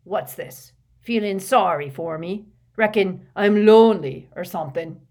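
Woman acting a giant gruff man, storybook character, loud, growling, grumpy, sarcastic, rustic bluster. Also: lowish voice, sing-song, extremely slow, full character voice. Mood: grumpy, hurt.

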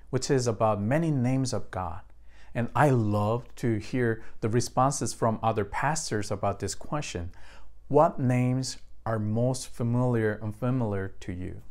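A man's voice: medium pitch, a little mellow. A man with a Korean accent, a native Korean speaker, preaching as a pastor and addressing his congregation directly. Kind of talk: sermon.

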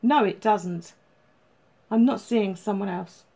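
A woman voicing a troubled, guarded male police detective in an audiobook, terse and halting. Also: on the high side, gravelly, darkish tone, slightly rough. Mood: defensive.

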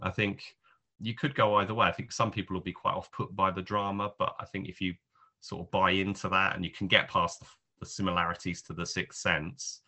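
A man with a very deep voice and a crisp, bright tone talks through a film review. His delivery is casual and rambling, full of hesitant, trailing clauses as he thinks aloud. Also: level tone, brisk.

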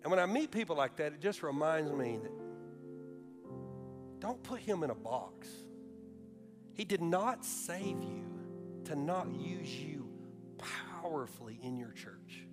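A male pastor from Alabama preaching a sermon in a church, moving between slow, emphatic points and quick, chatty storytelling. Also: a little high, sing-song, music in the background. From Alabama, USA. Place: church sanctuary.

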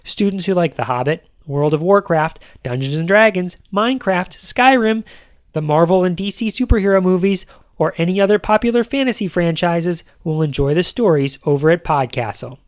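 A man's voice: high voice, lively intonation, moderate pace, dry recording. Man hosting a podcast, dry close sound. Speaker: podcast host. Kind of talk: scripted podcast monologue.

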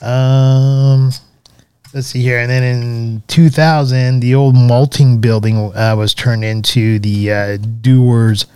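This is a man co-hosting a relaxed podcast, his voice smooth and resonant. He talks in a halting way, filling gaps with "uh" and "um". The recording is dry and close, with no room sound. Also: medium pitch, dry recording. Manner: hesitant, many "uh" fillers. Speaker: podcast co-host. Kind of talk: casual podcast chat.

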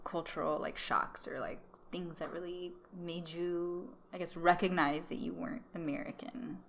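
A woman's voice: on the low side, flat delivery, normal speed, vocal fry, a little hiss or hum. A woman hosting a casual podcast interview, asking questions in an informal, tentative way.

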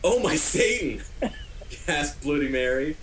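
A man's voice: fairly high pitch, very animated, unhurried, reverberant, background noise. A man giving a comic dramatic reading of fan fiction, prone to cracking up. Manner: prone to breaking up laughing. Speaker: podcast host doing a dramatic reading.

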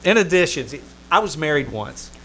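A man's voice: a little high, very animated, a little fast, bright, forceful tone, exaggerated voice. A man playing a world-weary Hollywood publicist with dry, wisecracking delivery in 1950s radio comedy style. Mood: blunt, sardonic. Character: wry, world-weary male Hollywood publicist, war veteran. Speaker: actor in old-time radio troupe. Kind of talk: 1950s radio comedy acting, live on stage. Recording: noisy live stage recording.

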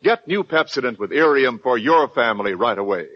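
A man's voice: medium pitch, lively intonation, fairly quick. An American man announcing in polished, emphatic 1940s radio salesman style. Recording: muffled old 1940s radio recording, music behind.

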